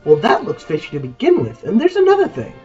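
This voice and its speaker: A woman's voice: huge pitch swings, fast talker, darkish tone, lo-fi sound, soft background music. A woman reading aloud voices a grubby, miserable young boy who talks in a sulky, wound-up way. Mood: conspiratorial. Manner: sulky and wound up, voice drops low when spooked.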